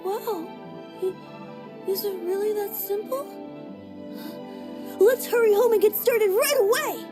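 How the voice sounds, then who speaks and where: A whiny little farm kid. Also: female voice, extremely high pitch, measured pace, long pauses. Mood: eager. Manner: whiny, eager, stammering. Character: small eager village farm kid. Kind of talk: video game voice acting. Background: game soundtrack music.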